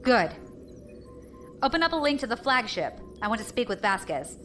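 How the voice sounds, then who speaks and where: A woman's voice: a little high, sing-song, normal speed, sharp tone, soft background music. A woman playing a defiant, commanding rebel fleet leader in an audio drama. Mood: brisk, commanding. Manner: commanding, composed.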